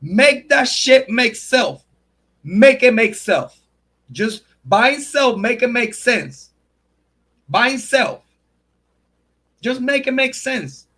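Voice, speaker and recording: Fired-up, high-voiced male streamer ranting. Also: big pitch swings, slow pace, pauses often, breathy edge. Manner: heated, profane and repetitive, calling out a rival; shouts out and thanks viewers by name. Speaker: true crime channel host. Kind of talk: livestream commentary rant.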